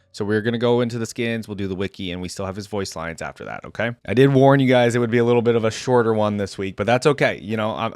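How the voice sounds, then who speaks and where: A man's voice: medium pitch, dry recording, studio quality. A man hosting a video game reaction, talking informally and conversationally as he thinks aloud. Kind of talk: casual reaction commentary.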